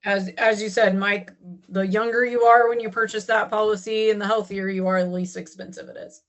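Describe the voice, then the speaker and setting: A woman's voice: mid-range voice, fairly even intonation, brisk. A woman answering as an insurance agent on a panel. She speaks firmly and agrees emphatically.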